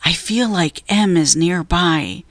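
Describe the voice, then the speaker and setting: A woman's voice: on the low side, slow, dry recording, clean studio sound. A woman voicing a cautious, thoughtful young boy in a children's storybook, speaking earnestly and carefully. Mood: quiet, earnest.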